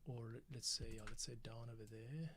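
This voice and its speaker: A man's voice: medium pitch, moderate pace, noisy background. A man casually thinking aloud as he works on composing and editing audio, muttering to himself. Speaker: podcast composer and editor. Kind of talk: casual work-along commentary. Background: music playback, computer keyboard and typing.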